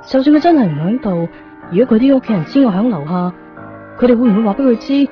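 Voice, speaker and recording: Woman acting heartsick brooding hong kong girl, cantonese radio monologue, pauses a lot, dull old recording, soft music under. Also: a little high, expressive, lightly acted. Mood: longing, obsessive. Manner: brooding, halting inner monologue. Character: lovelorn young woman obsessed with vanished lover. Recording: muffled 1990s radio drama over music.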